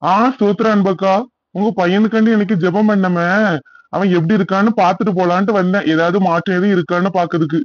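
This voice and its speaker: High-pitched, earnest cartoon pastor played by a man. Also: steady tone, fast, dry recording, muffled recording, natural voice. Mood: gentle, persuasive. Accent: southern Tamil Nadu. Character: kindly, earnest male village church pastor.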